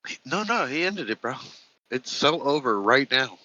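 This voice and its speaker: A man in his late thirties co-hosting a casual chat, laid-back and unhurried. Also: medium pitch, big pitch swings, pushed and bright, no room sound, highs cut off. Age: 30s. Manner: slow, laid-back, says "bro". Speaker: co-host.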